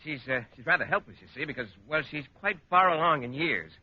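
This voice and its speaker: A man's voice: a little high, lively intonation, fast, close to an everyday voice. A man acting a shy, awkward teacher in a 1940s radio comedy, hesitant and stammering. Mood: flustered, apologetic. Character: shy, flustered, awkward adult male science teacher. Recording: dull, faintly noisy 1940s radio recording.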